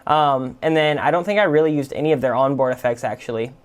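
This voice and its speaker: A man hosting a music production tutorial, explaining software casually and informally without a script. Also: mid-range voice, fast. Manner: informal, explanatory, full of "like" and "kind of".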